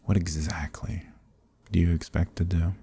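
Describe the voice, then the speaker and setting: A man voicing an ancient archdemon who is smug, amused and condescending, in a breathy, murmured dark fantasy ASMR roleplay with teasing, leisurely politeness. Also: deep voice, steady tone, normal speed, exaggerated voice. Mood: amused, menacing.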